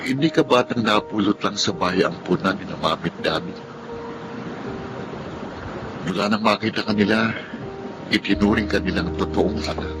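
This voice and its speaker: A gentle, earnest young Filipino man, played by an actor, speaks as a caring older brother in a radio drama. His voice sits low and comes across tender and reassuring, over prominent dramatic music. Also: brisk, lightly acted, rough recording.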